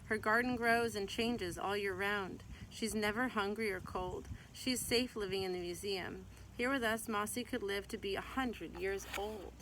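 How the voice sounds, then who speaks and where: Woman reading aloud over loud background noise. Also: mid-range voice, fairly even intonation, measured pace, few pauses, rough recording. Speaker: teacher reading aloud to young children. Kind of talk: picture-book read-aloud.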